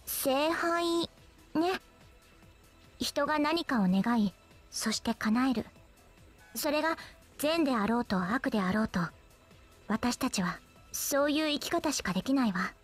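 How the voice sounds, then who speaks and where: High-pitched, teasing anime girl archer. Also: lively intonation, unhurried, long pauses, faint music behind. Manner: coy, teasing, theatrical. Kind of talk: Japanese anime game voice acting.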